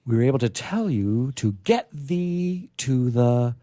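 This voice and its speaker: A man's voice: medium pitch, big pitch swings, measured pace, warm tone, no room sound. A man hosting a live radio theater show in a florid, playful, theatrical manner.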